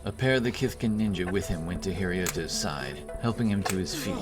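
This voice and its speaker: Deep-voiced male audiobook narrator. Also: brisk. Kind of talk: dramatic scripted fantasy narration. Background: music.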